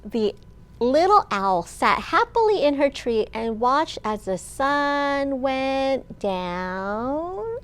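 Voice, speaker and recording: High-pitched woman reading slowly to children. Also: sing-song, a bit bright. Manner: sing-song, asks questions and pauses for child listeners. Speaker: children's librarian and storytime host. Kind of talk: expressive read-aloud of a picture book to young children.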